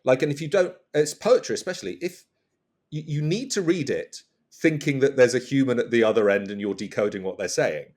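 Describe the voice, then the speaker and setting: A man, a comedian guesting on a podcast, chatting casually in a halting comic way with repeated words. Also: mid-range voice, lively intonation, darkish tone. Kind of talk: casual comic panel chat.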